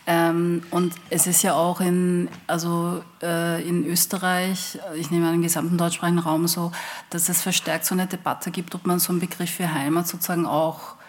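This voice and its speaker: A woman author talks in a panel discussion. Her voice sits fairly low and stays very flat in melody. She feels her way through sentences, often pausing to find the word. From Austria. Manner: hesitant, searching for words.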